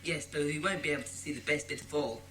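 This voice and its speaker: A woman's voice: low voice, quick pace, heavy background noise, lightly acted. A female performer playing an eager, imaginative schoolboy in a children's audio drama.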